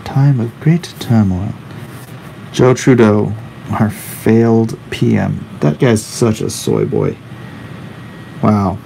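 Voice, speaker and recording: A man's voice: lowish voice, expressive, slow pace, gravelly, long pauses, warm tone, slight background noise, low-quality recording. A man in his forties chatting casually on a live drawing stream, slangy and offhand as he reads and answers viewer comments. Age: 40s. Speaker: comic artist hosting a live drawing stream. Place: indoors at a drawing desk.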